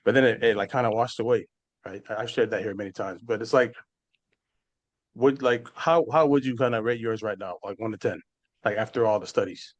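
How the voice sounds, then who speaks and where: A man's voice: lowish voice, fairly quick, muffled recording. A man hosting a podcast, asking questions in a chatty way full of fillers and "right?" checks. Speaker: podcast host. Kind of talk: casual podcast interview.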